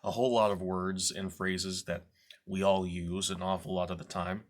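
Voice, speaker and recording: A man's voice: low-pitched, level tone, moderate pace. A man who works as an actor, casually introducing a dramatic reading to his viewers.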